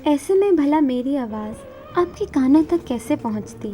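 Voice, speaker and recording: Mellow, high-voiced woman narrating over music. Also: animated, moderate pace. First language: Marathi. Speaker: singer narrating her life story. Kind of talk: scripted autobiographical narration.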